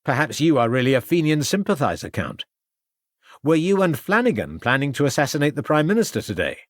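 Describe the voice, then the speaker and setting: A man's voice: on the high side, big pitch swings, brisk, dry recording, clean studio sound, voice pushed up. A man voicing a stern, persistent police interrogator who fires off accusing questions. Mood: interrogating. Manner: hectoring questions. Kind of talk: audiobook character voice.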